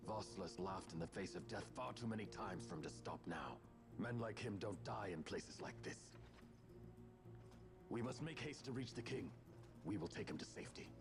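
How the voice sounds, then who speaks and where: A steadfast military captain from a video game, gruff and commanding. The voice sits fairly low with a creaky edge and swings in pitch, over clearly heard music. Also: male voice, lively intonation, moderate pace. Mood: stern resolve. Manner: commanding, resolute. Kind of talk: video game drama acting.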